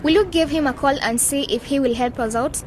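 A woman's voice: sits high, fairly quick, natural voice. An actress playing a serious, practical young woman in a radio drama. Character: serious, practical young businesswoman in a group of friends. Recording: clear vehicle noise behind.

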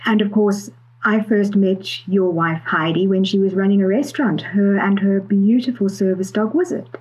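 A woman's voice: mid-range voice, moderate pace, a little mellow, slightly rough, lo-fi sound. A woman hosting a conversational podcast interview in a chatty, appreciative manner.

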